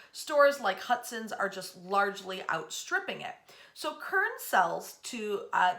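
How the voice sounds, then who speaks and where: A woman hosting a storytelling series, narrating local history in an expressive, emphatic way. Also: a little high.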